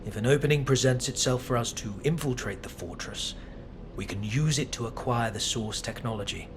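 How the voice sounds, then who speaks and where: An actor playing an intense, scheming alien scholar in a video game, speaking in a formal, deliberate, theatrical way. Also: male voice, medium pitch, noisy background, lightly acted. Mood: measured, eager.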